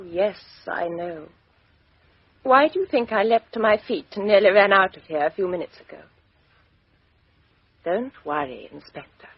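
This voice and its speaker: A woman's voice: medium pitch, husky, no room sound. An actress playing a proud, defiant, bitter young English woman in a radio mystery drama, with an English accent and clipped, intense, emotional delivery. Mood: brittle composure. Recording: dull, dry lo-fi radio recording.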